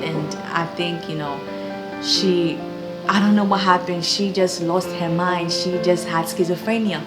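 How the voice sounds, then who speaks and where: A young woman with a Ghanaian accent giving an earnest, encouraging personal faith testimony. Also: lowish voice. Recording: echoey room with background music.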